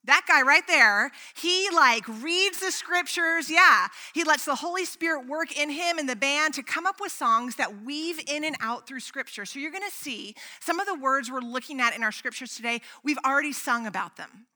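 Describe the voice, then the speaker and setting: A woman's voice: high-pitched, animated, normal speed, hardly any pauses, pushed and bright. A woman pastor preaching a sermon in a church, chatty and playful with a strong voice and lots of casual asides. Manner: chatty and playful, with frequent "like" fillers. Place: church sanctuary.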